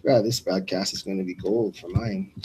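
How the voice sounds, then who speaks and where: A man's voice: low voice, steady tone, normal speed, warm tone, slight background noise. Male radio host for live fight play-by-play, informal and slangy, rambling between announcements. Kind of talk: loose, casual live fight commentary.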